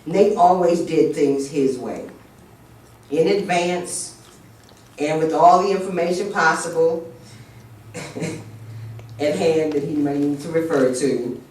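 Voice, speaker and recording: A man's voice: fairly high pitch, takes it slowly, long pauses, darkish tone, recorded from a distance. Earnest man in a very echoey hall. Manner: earnest, reverent. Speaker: speaker at a memorial tribute. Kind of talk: formal tribute speech.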